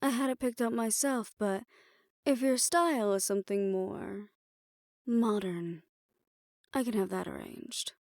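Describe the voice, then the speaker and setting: A woman's voice: mid-range voice, unhurried, gravelly, a little mellow, no echo, clean studio sound. A woman playing a poised, imperious hotel manager in an audio roleplay, speaking formally and with polite condescension. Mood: gracious, smooth. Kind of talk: scripted audio roleplay addressed to the listener.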